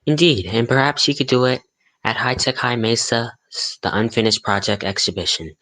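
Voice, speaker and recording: A man's voice: mid-range voice, normal speed, bright, forceful tone, lightly acted, rough recording. A man playing an eager, folksy announcer in the scripted style of 1940s radio. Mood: eager, chummy.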